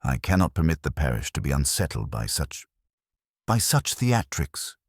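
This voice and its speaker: A man's voice: rumbling low, big pitch swings, normal speed, husky, dry recording, clean studio sound, exaggerated voice. A man voicing an indignant, righteous vicar in a formal, pompous, exclamatory manner for a radio-style mystery. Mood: righteous fervor. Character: indignant, righteous male vicar, fussy and fervent.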